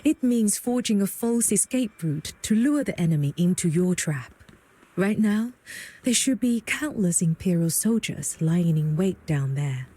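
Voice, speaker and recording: A woman playing a composed, shrewd proprietress in a video game, with a husky, mellow voice and a poised, sage manner. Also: on the low side, lively intonation, unhurried. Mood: knowing. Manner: poised, sage, gently teasing. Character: composed, worldly, shrewd adult female proprietress. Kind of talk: scripted video game acting.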